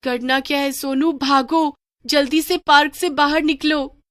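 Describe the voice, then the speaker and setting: A woman's voice: high voice, fairly even intonation, unhurried, a bit bright, no room sound, studio quality, voice pushed up. A woman voicing an anxious young girl in a cartoon horror story, with frightened, urgent exclamations. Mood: urgent panic.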